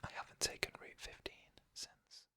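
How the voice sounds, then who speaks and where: A narrator reading creepy first-person stories in a soft, unvoiced ASMR whisper with slow, measured phrasing. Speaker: ASMR storyteller.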